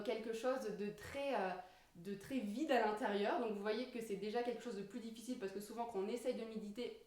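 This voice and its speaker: A woman with a fairly high voice speaks as a yoga teacher in an informal lesson. She explains step by step, pausing to find her words. The recording is quite echoey and a little far from the microphone. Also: darkish tone. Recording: roomy echo, distant microphone.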